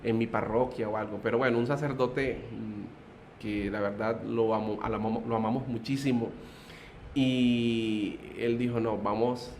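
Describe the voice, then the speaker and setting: A man's voice: on the low side, little pitch movement, a little slow, darkish tone, slight background noise. Man with a Colombian coastal accent. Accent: Colombian Caribbean coast. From Barranquilla, Colombia. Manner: hesitant, many "eh" fillers, good-humored. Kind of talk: testimony in interview.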